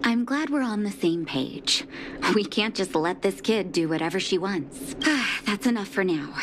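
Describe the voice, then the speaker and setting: A firm, caring doctor from a video game, played by a woman. Her mid-pitched voice moves expressively as she admonishes. There is clear noise behind her. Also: lively intonation, measured pace, lightly acted. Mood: stern. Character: stern, caring adult woman doctor scolding a child.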